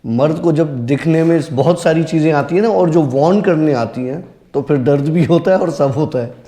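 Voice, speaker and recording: Mellow-voiced, earnest man. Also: fairly high pitch, moderate pace. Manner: earnest, serious. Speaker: storyteller guest on a horror story show. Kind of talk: casual conversational storytelling.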